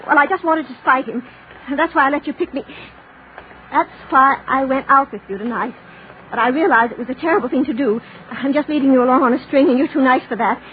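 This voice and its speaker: A woman's voice: sits high, fairly quick, natural voice. An actress playing a timid, anxious young American woman in 1940s radio drama style. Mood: anxious, apologetic. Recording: tinny, noisy 1940s radio recording.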